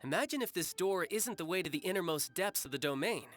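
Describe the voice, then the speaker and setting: A man's voice: high voice, animated, fast, a bit bright, soft background music. An actor playing a cocky, battle-loving young man in a video game, with a breezy, teasing manner. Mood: composed. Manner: breezy, teasing, smooth-talking. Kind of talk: English video game voice acting.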